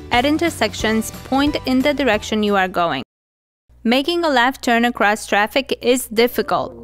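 A woman reading scripted instructional narration. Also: on the high side.

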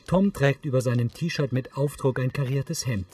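A man's voice: mid-range voice, normal speed, darkish tone, recorded from a distance, a little hiss or hum. A man reading neutral, scripted audio description for a TV series.

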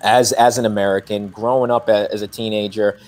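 A young man, an actor, answering interview questions on a video call from his living room couch, animated with lots of fillers. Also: on the low side, brisk. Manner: animated, gestures a lot, frequent fillers. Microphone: webcam on video call.

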